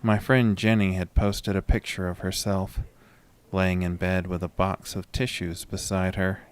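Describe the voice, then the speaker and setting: A man's voice: low-pitched, flat delivery, measured pace, clear, resonant tone. A man narrating a first-person horror story from a script.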